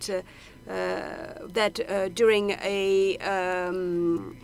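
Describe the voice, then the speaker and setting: Slow, halting woman interpreter. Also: medium pitch, level tone, vocal fry. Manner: halting, with frequent hesitations and restarts. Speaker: conference interpreter. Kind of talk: simultaneous interpretation of a panel discussion.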